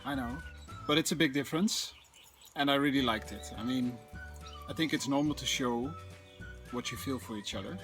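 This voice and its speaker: A young Dutch man talks in English with a Dutch accent, calm and a little hesitant as he puts his thoughts together. His pitch sits in the middle. Music plays clearly behind him outdoors. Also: normal speed, pauses often. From Netherlands. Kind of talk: casual chat to camera. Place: outdoor patio by a wooden fence.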